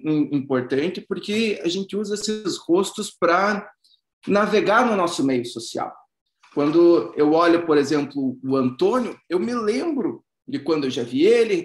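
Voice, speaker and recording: Casting note: male psychologist lecturer, higher voice, relaxed and chatty over a video call, echoey room. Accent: non-Bahian Brazilian Portuguese. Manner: informal, conversational, frequent fillers and restarts. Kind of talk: online lecture.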